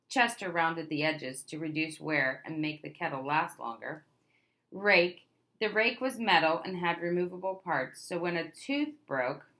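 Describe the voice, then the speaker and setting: A woman reads a nonfiction picture book aloud to children. Her voice sits a little low but is clearly bright and forward. She tells it expressively, with emphatic stresses as if addressing young listeners directly. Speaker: read-aloud presenter.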